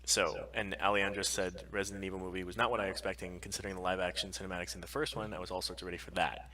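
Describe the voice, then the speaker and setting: A man's voice: low-pitched, little pitch movement, very fast, a crowd in the background. A man co-hosting a podcast live stream, chatting casually and bluntly with opinions and plenty of swearing.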